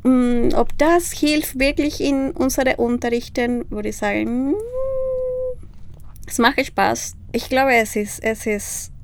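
A woman's voice: a little high, fairly even intonation, takes it slowly, gravelly, a little hiss or hum. A woman from Peru who speaks German with a Peruvian Spanish accent, a Spanish teacher talking in a casual interview and often searching for words.

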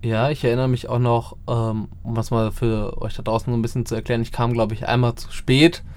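A man who hosts a casual interview and asks questions in a relaxed, chatty way. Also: medium pitch, brisk. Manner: relaxed, chatty, laughs easily.

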